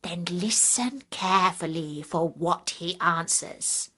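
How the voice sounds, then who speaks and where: A woman's voice: fairly low pitch, animated, slow, sharp tone, heavily acted. Woman acting a sly, very old fairytale grandmother. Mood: conspiratorial.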